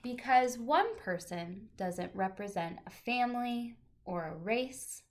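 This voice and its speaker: Slow-paced woman reading to children. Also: a little high, lively intonation. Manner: deliberate, clearly phrased storytelling for young children. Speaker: teacher reading a picture book aloud. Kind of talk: expressive children's read-aloud with chatty asides to listeners.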